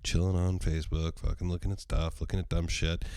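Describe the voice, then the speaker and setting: Hoarse low-voiced man, rambling casually. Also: level tone, fairly quick, darkish tone, no room sound, studio quality. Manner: rambling and profane, with many ums. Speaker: podcast host. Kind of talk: casual solo podcast livestream.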